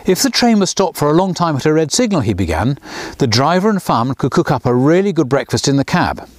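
A man's voice: fast talker, no room sound. A man in his sixties, a children's author, reading his own storybook aloud outdoors beside a small railway, lifting his voice for the characters. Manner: lively storyteller, lifts pitch for characters' lines.

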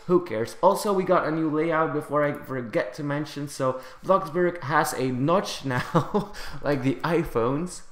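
A man casually commenting on a building game update, chatty and excitable, his voice slightly raspy from the early hour. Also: on the high side, roomy sound. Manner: excitable, chatty, laughs often. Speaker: gaming YouTuber.

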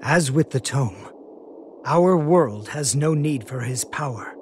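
An actor playing a solemn, soft-spoken mage burdened by regret, with formal, measured speech in an animated game cutscene. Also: male voice, medium pitch, a little hiss or hum. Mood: resolute. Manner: formal, measured, articulate wording. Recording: dramatic music under the voice.

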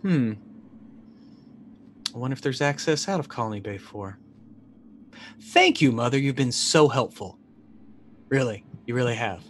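A man's voice: medium pitch, expressive, measured pace, pauses often, slight background noise, natural voice. A man playing a weary, sarcastic crash survivor in a tabletop game, talking with mock politeness.

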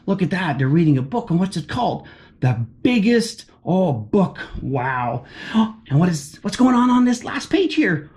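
Guy reading a picture book to kids, super animated, keeps asking the kids stuff. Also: sits high, a little slow. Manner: animated, asks children questions. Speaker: children's story reader. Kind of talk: children's book read-aloud.

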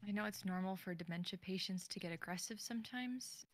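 A woman's voice: mid-range voice, brisk, natural voice. A woman playing a distressed, caring granddaughter of twenty-three in a dramatic script reading. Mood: upset, bitter.

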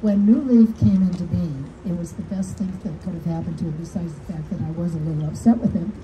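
An older woman with a naturally deep voice speaks warmly at an outdoor podium. She tells personal stories with a little gentle humor. Her voice comes through a PA system and is recorded from the audience, with the outdoor crowd clearly audible. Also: a little fast, slight background noise, rough recording. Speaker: widow giving a dedication speech. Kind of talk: heartfelt public speech at a podium. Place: outdoors under a canopy, small crowd. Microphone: podium mic heard through speakers, recorded from the audience.

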